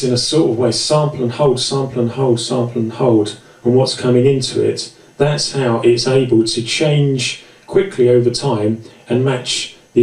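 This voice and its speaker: A man's voice: mid-range voice, darkish tone. A man presenting an informal, explanatory music gear tutorial with hesitant, self-deprecating asides. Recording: roomy, echoey, distant mic.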